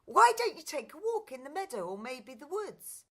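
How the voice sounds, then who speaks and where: A woman's voice: sits high, big pitch swings, normal speed, bright, forceful tone, pitched above the performer's own voice, full character voice. A woman playing a cheerful, kindly young servant girl in a pantomime, polite and plucky. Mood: cheerful, wistful. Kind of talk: stage pantomime acting.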